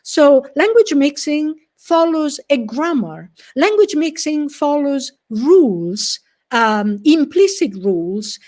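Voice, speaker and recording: A woman's voice: sits high, darkish tone. Woman giving an academic talk. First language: Italian. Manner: measured and explanatory, with frequent fillers and restarts. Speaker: researcher presenting a session. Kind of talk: recorded conference presentation.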